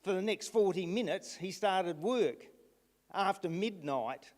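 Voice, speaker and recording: A man addressing a council meeting, recounting events haltingly with restarts. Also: sits high, animated, a little mellow. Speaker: resident speaking at council meeting. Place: council chamber. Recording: long reverb in a hall.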